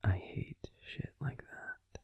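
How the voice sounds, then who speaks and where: A man playing a grumpy but tender werewolf boyfriend in an intimate sleep-aid audio roleplay, confiding casually in a breathy, husky, unhurried whisper, close to the microphone. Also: no room sound, lightly acted. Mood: confiding, subdued. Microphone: close microphone, dry.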